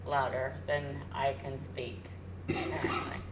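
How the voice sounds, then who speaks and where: A woman with a low voice co-hosting a live gaming stream, rambling with hesitant restarts. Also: level tone, measured pace, reverberant, background noise. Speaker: co-host streamer. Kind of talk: casual live-stream chat over gameplay. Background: loud game music.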